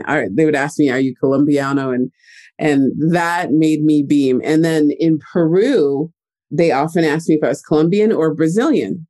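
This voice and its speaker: A young man talking about his life as an interview guest, lively and chatty. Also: on the high side. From United States. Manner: animated, chatty storyteller. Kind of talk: casual interview talk.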